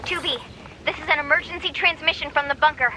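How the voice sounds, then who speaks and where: A woman playing an earnest android military operator in a video game, giving urgent, clearly worded briefings. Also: sits high, steady tone, fast, noisy background, natural voice. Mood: urgent, alert.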